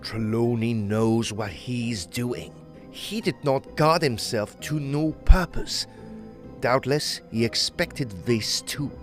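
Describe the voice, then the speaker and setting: An actor playing a learned, secretive explorer of Egypt in an audio drama, formal and emphatic in manner. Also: male voice, medium pitch, slow pace, soft background music, close to an everyday voice. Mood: grave, guarded.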